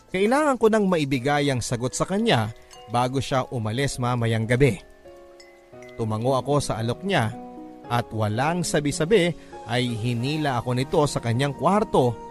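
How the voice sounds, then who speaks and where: A man narrates a scripted first-person story in a classic radio drama manner. His voice is mid-range for a male speaker. Music plays lightly behind the narration. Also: mid-range voice. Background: music bed.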